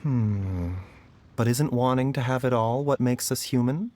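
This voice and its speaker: An actor playing a gentle young doctor in a game, speaking in a calm, measured, reflective way. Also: male voice, medium pitch, a little hiss or hum, lightly acted.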